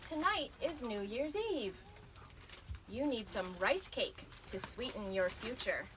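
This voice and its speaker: A woman's voice: a little high, lively intonation, slow pace, background noise. A woman voicing a bold, clever young girl in a storybook. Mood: bold, urging.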